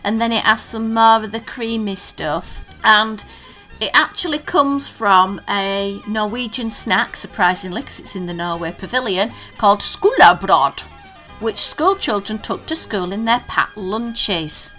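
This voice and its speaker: A woman's voice: medium pitch, lively intonation, a little slow, pushed and bright, faint music behind, rough recording. A woman co-hosting a casual podcast chat, teasing her co-host and reading out listener messages. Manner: chatty, teasing, reads listener tweets aloud.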